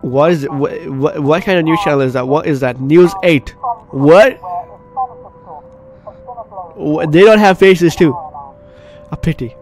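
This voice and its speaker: A man's voice: fairly high pitch, unhurried, long pauses, darkish tone, a little hiss or hum. A man doing gameplay commentary, reading story text aloud dramatically and stumbling over words now and then. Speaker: gaming video host. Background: game music.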